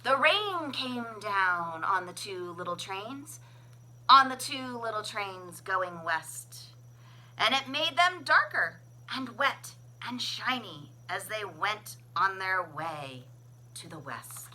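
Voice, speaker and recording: A woman reading a children's picture book aloud as a librarian, with expressive, sing-song, deliberate phrasing. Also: medium pitch, slight background noise, poor audio. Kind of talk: children's storybook read-aloud.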